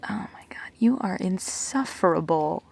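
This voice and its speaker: Woman acting immortal best friend, audio roleplay, breathy, slight vocal fry, reflective, measured, earnest. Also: lowish voice, lively intonation, darkish tone, exaggerated voice. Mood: defensive, exasperated.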